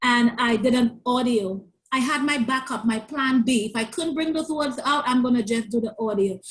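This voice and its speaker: A woman's voice: a little high, moderate pace. Earnest woman with a stutter and lisp. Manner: earnest, repeats words as she restarts. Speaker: author and parent coach. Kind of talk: interview guest sharing her story.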